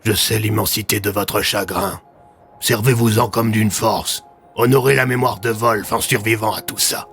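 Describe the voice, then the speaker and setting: A man voicing a grave, authoritative police commissioner in an audio story, measured and solemn. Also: lowish voice, fairly even intonation, fairly bright tone, husky, faint music behind. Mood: solemn, consoling.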